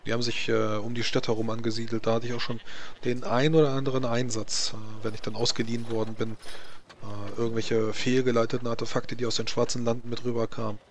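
A man improvising in-character fantasy roleplay talk, halting as he searches for words. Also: medium pitch, flat delivery.

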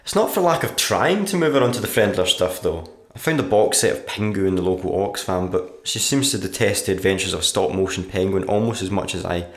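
A man acting in a radio play as a weary, morbidly deadpan struggling writer reading out his diary. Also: low voice, a little fast, hardly any pauses, echoey room. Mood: dry, weary. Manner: deadpan, dry.

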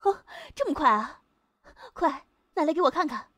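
A young woman playing a composed high school student leader in an amateur audio drama. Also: animated, moderate pace, sharp tone, dry recording. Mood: pleased.

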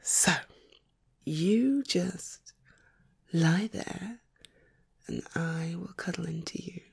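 A woman playing a sleepy, tender, playful girlfriend in an ASMR roleplay, speaking softly and coaxingly with a breathy, often whispered delivery. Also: lowish voice, exaggerated voice. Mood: soothing, intimate. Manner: soft, coaxing, affectionate, unhurried.

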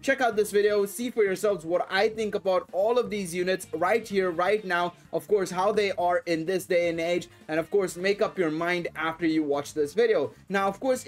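A young man in his twenties with a hoarse, sore throat, talking through a mobile game in a casual, enthusiastic commentary style on a headset. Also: high voice. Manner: enthusiastic, repeats himself, fast run-on explanations. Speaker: gaming YouTuber. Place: home room, in front of a screen capture.

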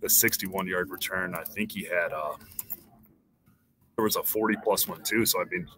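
A man's voice: sits low, steady tone, moderate pace, bright, forceful tone, slight background noise. A man in his thirties, a sports beat reporter, talking casually on a postgame live stream over a headset, rattling off stats with frequent restarts. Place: small indoor room.

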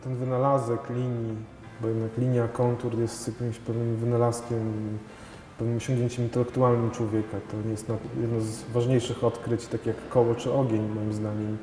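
30s guy, mellow voice, thinking out loud with lots of ums, big echoey gallery hall. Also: medium pitch, little pitch movement, faint music behind. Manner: thoughtful, halting, many fillers and restarts. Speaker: painter. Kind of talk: gallery interview. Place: large gallery hall with paintings. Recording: long hall reverb, faint noise.

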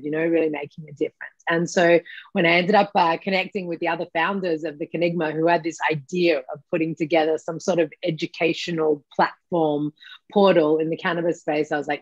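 A chatty Australian woman in her forties, talking on a video call from a home office. Her voice sits a little low and sounds bone-dry and slightly dull, with frequent ums as she talks. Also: dry recording. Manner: chatty, many "um"s and self-corrections. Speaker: guest, company co-founder and CEO. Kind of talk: casual interview over video call.

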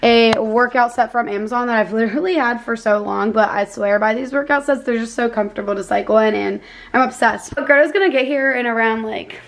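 A young woman vlogs from her bedroom, holding the camera close. Her voice sits a little high and bubbly, with a chatty flow full of "like." A faint hiss sits under the recording. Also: a little fast, creaky. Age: young adult. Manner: bubbly, chatty, says "like" often. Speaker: vlogger. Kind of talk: casual vlog chat. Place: bedroom, indoors. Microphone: handheld camera at arm's length.

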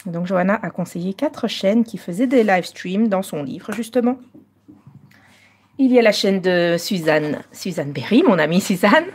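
A Belgian woman hosting a live coloring stream, chatting casually and moving between French and slightly halting English. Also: medium pitch, darkish tone.

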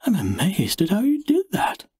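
A man voicing a bright, obliging woman who breeds dogs, explaining things helpfully in an audiobook story. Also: high-pitched, wildly swooping pitch, moderate pace, slightly rough, no echo, studio quality, voice pushed up, exaggerated voice. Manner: bright, informative.

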